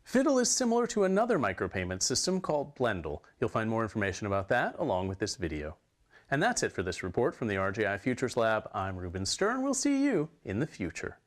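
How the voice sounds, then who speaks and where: A man anchoring a short news segment, reading the script with a lively delivery. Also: fairly low pitch, fast talker.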